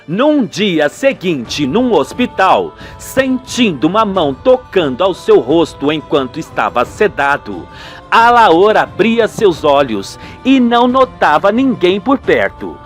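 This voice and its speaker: A man's voice: high voice, big pitch swings, slow. A Brazilian man giving a theatrical, emphatic scripted narration for a radio soap, with music behind him.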